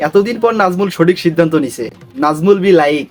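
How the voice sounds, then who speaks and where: A man's voice: a little high, fast talker, a little mellow. A man with a Bangladeshi accent delivering teasing, scripted comic commentary on memes as a channel host. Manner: mocking, teasing. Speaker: host of a meme review channel.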